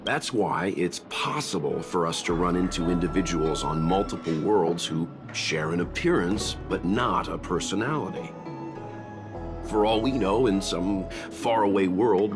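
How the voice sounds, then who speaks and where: A man voicing a calm, thoughtful gentleman mentor in a video game, speaking in a measured, courteous and serious way. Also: deep voice, over music. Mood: grave, explanatory. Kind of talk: video game English dub acting.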